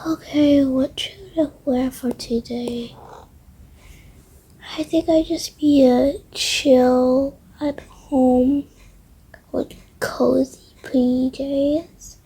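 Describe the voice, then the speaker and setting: A young-sounding female speaker narrating a casual improvised game roleplay in a hushed, hesitant way, often trailing off into whispers. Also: high voice, steady tone, drawn-out words, breathy edge. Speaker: roleplay video creator.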